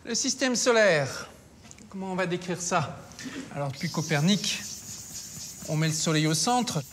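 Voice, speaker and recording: A man's voice: high voice, expressive, unhurried, slight background noise. Man explaining science step by step. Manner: didactic, step-by-step, with hesitations. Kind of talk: documentary explanation.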